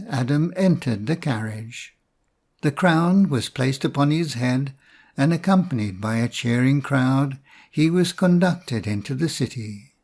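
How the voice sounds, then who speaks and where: A man reading a storybook fairy tale in a measured, expressive storytelling manner. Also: mid-range voice, creaky. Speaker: storybook narrator.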